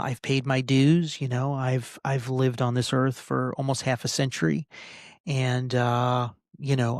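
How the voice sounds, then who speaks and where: A man in his forties talking casually as a podcast host, venting with halting, repetitive phrasing and some swearing. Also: medium pitch, dry recording, studio quality. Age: 40s. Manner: frustrated, sweary. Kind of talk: casual personal rant to listeners.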